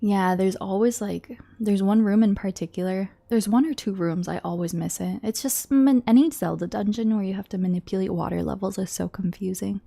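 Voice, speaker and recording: A young woman chats with her stream audience, her voice congested and slightly nasal from an oncoming cold. Her tone is warm and mellow, with a gravelly fry running through it. She rambles in a relaxed way, often stopping to restart a sentence. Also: mid-range voice, a little fast, few pauses. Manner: chatty, rambling with repeated restarts and quiet asides. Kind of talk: casual chat with stream chat.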